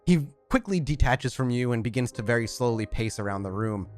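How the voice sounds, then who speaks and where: A man's voice: medium pitch, lively intonation, fairly quick. Guy running a tabletop game, laid back narrating, lots of uh and like, mid voice, faint music behind. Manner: casual, filler-heavy narration. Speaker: storyteller.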